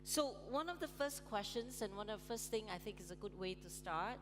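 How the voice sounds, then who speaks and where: A woman's voice: medium pitch, lively intonation, a little fast, noisy background. A woman moderating a conference panel on stage, chatty, with frequent ums and light laughs. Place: large conference hall on stage. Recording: reverberant hall, music playing.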